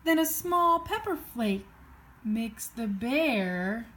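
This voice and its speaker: A woman reading a children's bedtime story aloud in an expressive, playful sing-song way, prompting a child along. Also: on the high side, drawn-out words.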